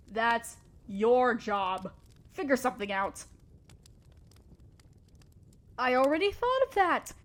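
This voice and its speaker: A haughty evil queen from a fantasy audio roleplay, acted out by a woman. Her voice sits on the high side for a woman. She addresses her underling with imperious, theatrical authority, as a ruthless sorceress guarding her empire. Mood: imperious, impatient. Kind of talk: scripted one-sided fantasy audio roleplay.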